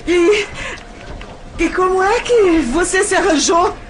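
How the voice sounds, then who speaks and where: A woman's voice: very high-pitched, slow pace, heavy background noise. An actress playing an anxious, jealous young woman talking to her lover in an audio drama, with a Brazilian accent and a hesitant, emotional delivery. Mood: uneasy. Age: young adult.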